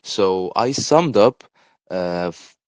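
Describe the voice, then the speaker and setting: A man's voice: deep voice, lively intonation, slow pace, a little mellow, smooth, no echo. A young adult man from Egypt, whose first language is Arabic, hosts a casual solo podcast and talks with many hesitant fillers. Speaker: podcast host.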